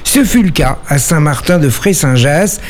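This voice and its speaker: A man's voice: fairly high pitch, lively intonation, moderate pace. A man hosting a local radio show, reading a news bulletin and then interviewing a guest with some hesitant fillers. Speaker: radio host. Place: radio studio.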